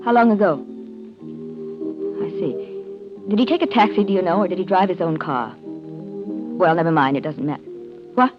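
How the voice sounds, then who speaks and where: A woman playing a brisk, cool young lady of a wealthy house in an old-time radio drama. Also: on the low side, natural voice. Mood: polite, probing. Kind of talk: 1940s radio acting. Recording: muffled old radio recording, music behind.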